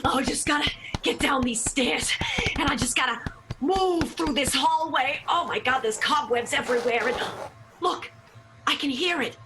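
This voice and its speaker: A woman's voice: sits high, moderate pace, over music, poor audio. A woman playing a troubled, devoted hard-drinking lover in an improvised radio soap, melodramatic and urgent. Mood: frantic.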